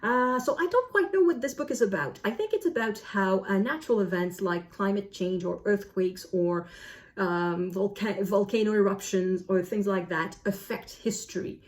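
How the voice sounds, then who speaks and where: A woman talking casually as a book vlogger reviewing to camera, often pausing and rephrasing as she goes. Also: medium pitch.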